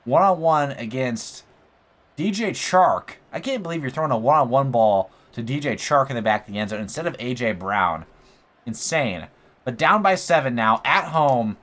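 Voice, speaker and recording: Young man commentating a football video game. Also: mid-range voice. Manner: excitable, talks through plays, says bro and like. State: voice going a bit hoarse. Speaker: gaming YouTuber. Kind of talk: casual play-by-play commentary over gameplay. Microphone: USB desk mic.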